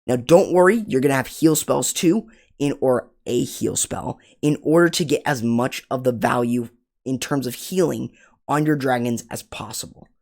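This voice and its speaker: A man with a raspy voice hosting a casual game strategy guide, explaining attacks step by step and correcting himself as he goes. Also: mid-range voice, moderate pace, a little mellow. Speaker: gaming channel host.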